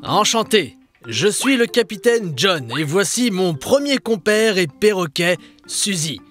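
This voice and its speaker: A cursed ghost ship captain, earnest and commanding for a kids' cartoon. His voice sits high for a man and gives steady orders. Light music plays underneath. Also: measured pace, exaggerated voice. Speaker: dubbing actor. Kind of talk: French cartoon dub.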